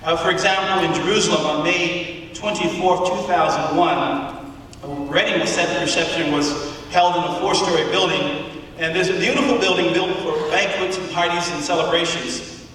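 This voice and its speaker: A man delivering a sermon, speaking emphatically and deliberately with rhetorical questions and pauses in a large auditorium. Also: on the high side, steady tone, a bit bright. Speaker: preacher giving a public address. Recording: echoey hall from far off, faint noise.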